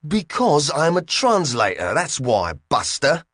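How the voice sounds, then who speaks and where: A man's voice: on the high side, wildly swooping pitch, unhurried, a bit bright, no echo, studio quality, pitched above the performer's own voice, full character voice. A man voicing a touchy little translator gadget that talks with a put-on foreign accent and takes offense easily. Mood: huffy. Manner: prickly, huffy, easily insulted.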